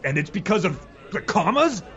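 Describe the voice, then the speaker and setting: A man's voice: sits high, sing-song, moderate pace, fairly bright tone, highs cut off, a faint crowd, voice pushed up. A man voicing an indignant, argumentative cutthroat in a fantasy tavern sketch, incredulous and quibbling.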